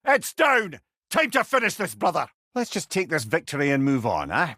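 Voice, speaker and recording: A man's voice: a little high, wildly swooping pitch, moderate pace, sharp tone, dry recording, clean studio sound, exaggerated voice. An actor playing a witty, learned old male sage in a video game, with a Scottish accent and a wry, eloquent way of talking. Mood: urgent warning. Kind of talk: video game character dialogue.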